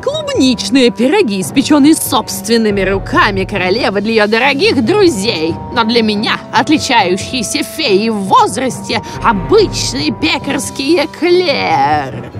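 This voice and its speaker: A woman's voice: on the high side, big pitch swings, measured pace, hardly any pauses, music in the background. A woman voicing an offended, vengeful elderly fairy with a haughty, reproachful manner in a fairy-tale cartoon. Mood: indignant, wounded.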